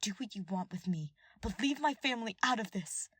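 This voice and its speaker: A woman's voice: mid-range voice, expressive, brisk, fairly bright tone, husky, no room sound, voice pushed up. A woman voicing an earnest, anguished young nobleman held prisoner, speaking with raw intensity in a fantasy story. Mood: defiant anger. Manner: emotional, intense.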